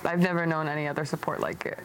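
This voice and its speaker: Clear background noise surrounds a young woman from Syria with a fairly low voice. She talks openly and reflectively, as a guest in a personal chat, and is prone to giggling. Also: steady tone, fast, low-quality recording. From Damascus, Syria. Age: young adult. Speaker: podcast guest, medical device engineer.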